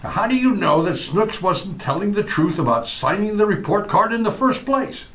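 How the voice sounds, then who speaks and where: Man playing a wry, animated lawyer pal. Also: sits high, normal speed. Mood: teasing. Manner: wry, teasing, reasoning. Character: wry lawyer neighbour, amused friend of the father. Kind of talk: old-time radio comedy acting.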